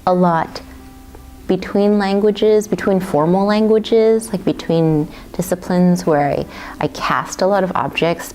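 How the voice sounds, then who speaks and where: Low-voiced woman artist, thoughtful. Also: creaky, darkish tone. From Taiwan. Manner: thoughtful, many fillers and restarts. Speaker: visual artist. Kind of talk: artist talk about her work.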